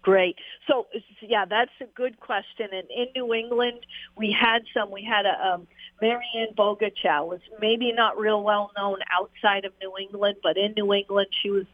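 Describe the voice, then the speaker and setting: A woman's voice: medium pitch, fairly even intonation, normal speed, no echo. A woman and former jockey, telling stories in a rambling, casual interview with frequent laughs and fillers. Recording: tinny phone line.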